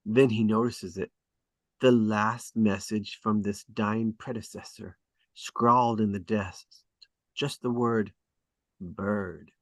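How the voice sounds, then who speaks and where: A man reading stage directions aloud at a script table read, in a deliberate, descriptive way. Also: lowish voice, steady tone, no echo.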